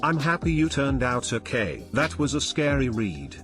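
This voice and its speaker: A man reads story posts aloud in a steady, even manner, his voice sitting in a middle register. Soft music runs quietly beneath him the whole way through. He keeps the pace of a scripted narration. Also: medium pitch, moderate pace. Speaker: narrator reading online posts.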